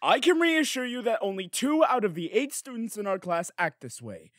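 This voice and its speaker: A man playing an excitable, hot-tempered young prince in a comedic parody dub, devoted and theatrical. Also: sits high, moderate pace, no room sound, studio quality. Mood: cheerful, eager. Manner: theatrical, foul-mouthed outbursts, earnest pleading.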